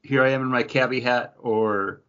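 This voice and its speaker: A man co-hosting a podcast, chatting casually and cracking jokes with quick interjections. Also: mid-range voice, fairly even intonation, a bit bright, lo-fi sound. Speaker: podcast co-host. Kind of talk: casual chat.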